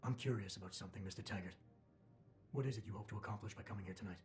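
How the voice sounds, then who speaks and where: Guy doing a terse wary game security chief, talks really fast, bit of music behind. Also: lowish voice, close to an everyday voice. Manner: blunt, suspicious. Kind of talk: video game voice acting.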